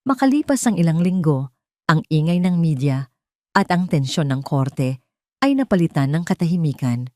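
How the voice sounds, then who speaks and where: Mellow Filipina woman narrating a dramatic story. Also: sits low, expressive, moderate pace, dry recording, clean studio sound.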